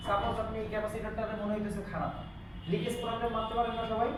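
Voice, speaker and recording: A man's voice: sits high, level tone, rapid-fire. A man with a Bangladeshi accent teaching an interactive electronics repair class, explaining and often asking check questions. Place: classroom, indoors. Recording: echoey room, distant mic, background noise.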